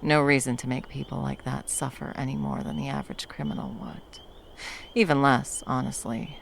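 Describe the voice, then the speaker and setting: A woman playing a battle-hardened, fiercely protective monster hunter in an intimate ASMR roleplay, speaking to a lover with dry, tender menace and a gravelly voice. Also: a bass voice, animated, a little hiss or hum, full character voice. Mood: cool, matter-of-fact. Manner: menacing yet tender, slow deliberate storytelling with dry understatement.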